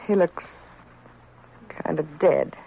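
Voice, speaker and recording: Tough, low-voiced frontier widow. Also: female voice, huge pitch swings, slow, breathy edge, exaggerated voice. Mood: stoic, matter-of-fact. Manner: plain-spoken, stoic. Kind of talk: 1950s radio western acting. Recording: dull vintage 1950s radio recording.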